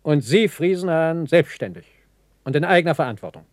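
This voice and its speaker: An actor as a brisk German wartime general. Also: male voice, on the high side, lively intonation, no echo, clean studio sound, close to an everyday voice. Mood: brisk, commanding. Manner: authoritative, clipped questioning and ordering. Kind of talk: German radio play dialogue.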